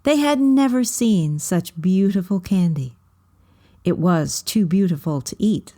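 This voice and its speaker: A woman reading a children's novel aloud as storybook narration, with measured, deliberate phrasing. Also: on the low side, expressive, dark tone.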